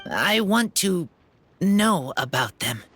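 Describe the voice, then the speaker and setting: An actor voicing a shy young man raised by wolves, who speaks in halting, broken phrases in a game. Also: sits high, very animated, sharp tone, soft background music, heavily acted. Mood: earnest. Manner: halting broken speech, slow and simple.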